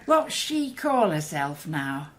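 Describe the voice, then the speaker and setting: An actress playing a middle-aged Victorian village innkeeper in a radio drama, chatty, inquisitive and fond of gossip. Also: female voice, low-pitched, big pitch swings, slow, roomy sound, a little hiss or hum, exaggerated voice. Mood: curious, gossipy.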